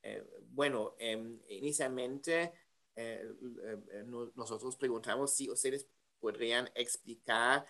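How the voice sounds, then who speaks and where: A man interviewing a guest, asking formal questions in a hesitant, halting way full of fillers. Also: medium pitch, pushed and bright.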